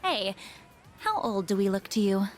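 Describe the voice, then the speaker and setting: A woman's voice: medium pitch, very animated, normal speed, soft background music, close to an everyday voice. An actress voicing an easygoing, teasing young working woman in a video game, with a friendly, coaxing manner. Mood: playful, coaxing. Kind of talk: English video game voice acting.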